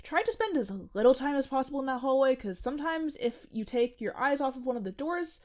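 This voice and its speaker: Brief: fairly high young woman, actress as an unfazed oddball janitor, deadpan-breezy. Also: fairly quick. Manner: breezy, matter-of-fact, teasing. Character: odd, cheerfully nerdy young woman coworker, unbothered by ghosts. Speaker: restaurant janitor. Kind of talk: comedic audio drama acting.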